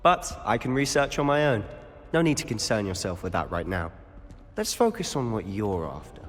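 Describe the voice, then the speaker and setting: A man's voice: fairly low pitch, very animated, normal speed. A man voicing a confident, sly teenage wizard in a video game, with a British accent and a wry, conspiratorial manner. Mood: guarded, earnest. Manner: conspiratorial, wry, self-assured. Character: confident, sly teenage schoolboy wizard, loyal rule-breaker.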